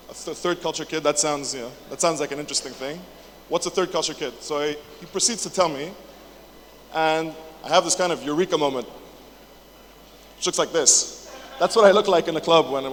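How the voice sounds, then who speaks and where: A man's voice: on the high side, fairly quick. Chatty young man in an echoey hall. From England. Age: 20s. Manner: chatty, jokey, swears, many fillers and restarts. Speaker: conference speaker. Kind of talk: casual conference talk. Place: large conference hall, indoors. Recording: echoey hall with faint noise.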